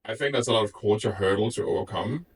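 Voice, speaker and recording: A man's voice: lowish voice, fast talker, far from the mic, a little hiss or hum. A man from Denmark, an IT developer, speaking frankly and reflectively in a documentary interview.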